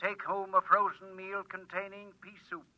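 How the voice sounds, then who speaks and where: A man's voice: high voice, level tone, moderate pace, no room sound. A man playing a talking advice machine in an old radio drama, precise and impersonal like an announcer, through a small speaker. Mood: impersonal, matter-of-fact. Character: talking machine coolly dispensing deadly advice. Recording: dry, muffled old radio recording.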